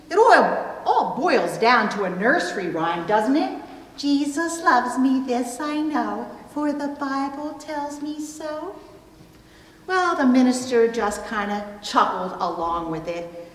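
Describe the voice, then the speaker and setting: A middle-aged woman pastor delivering a sermon in a measured, deliberate way, recorded in a church room. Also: fairly high pitch, fairly bright tone. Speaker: pastor preaching. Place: indoor church room at a pulpit. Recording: echoey hall, long reverb, distant mic.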